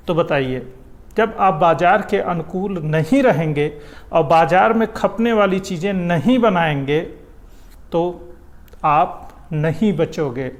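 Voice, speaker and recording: Male commentator, didactic monologue; slightly high pitch with heavy vocal fry. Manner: explanatory, didactic, rhetorical questions. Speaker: political commentator. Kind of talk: opinion monologue on public affairs.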